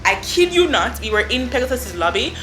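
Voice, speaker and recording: Bright-voiced young Jamaican woman. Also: a little high, a little fast, roomy sound, soft background music, rough recording. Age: young adult. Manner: effusive, animated, lots of "I mean" and "like". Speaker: vlogger. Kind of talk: casual storytime.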